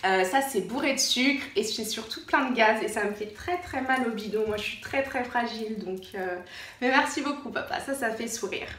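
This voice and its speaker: A woman's voice: a little high, moderate pace, fairly bright tone. A woman from France with a French accent, chatting casually as a vlogger, informal and repeating words for emphasis. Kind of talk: casual chatty show-and-tell vlog. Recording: roomy echo, distant mic, faint noise.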